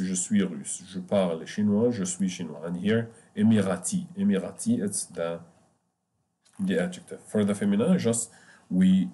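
A man teaching French beginners over a screen share, explaining slowly and repeating points with many fillers. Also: sits low, warm tone. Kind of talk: online screen-share lesson.

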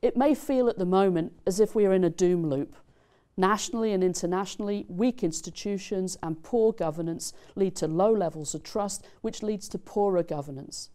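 A middle-aged woman delivering formal commencement remarks as a dean in a studio, speaking in a measured, deliberate way. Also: medium pitch, warm tone. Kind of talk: formal prepared ceremonial speech. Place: studio set with screens, indoors.

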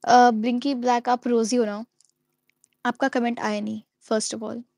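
A woman's voice: fairly high pitch, little pitch movement, unhurried, vocal fry, darkish tone, no room sound, studio quality. A young woman chatting playfully on a home live stream, reading out comments and giggling often. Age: young adult. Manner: giggly, playful, switches into English. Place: indoors at home, small room. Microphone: wired earphone mic on a phone.

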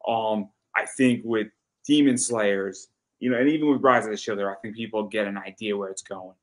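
An American man with a fairly low voice hosts a casual interview. His phrasing is halting, and he often restarts as he works toward his point. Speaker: interviewer, host. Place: plain room, indoors, video call.

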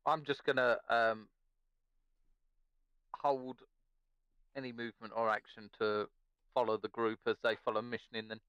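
Smooth-voiced male game player. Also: mid-range voice, level tone, measured pace, long pauses, a bit bright, dry recording, low-quality recording. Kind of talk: casual game chat.

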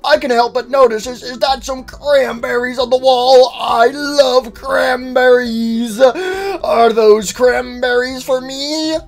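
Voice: high voice, fairly even intonation, takes it slowly, voice pushed up. A performer voicing a polite, eager cartoon character with mannered, slightly hesitant speech.

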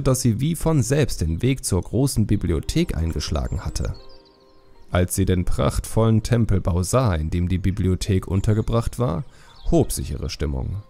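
Guy reading a fantasy audiobook, mellow gravelly voice, measured storytelling, faint music behind. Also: fairly low pitch. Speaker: audiobook narrator. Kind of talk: scripted fantasy audiobook narration.

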